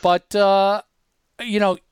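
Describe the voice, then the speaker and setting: Guy podcast host walking through a demo, smooth clear voice, dry close sound, stumbles over word starts but emphatic. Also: high voice, little pitch movement, takes it slowly, no echo. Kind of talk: conversational product demo.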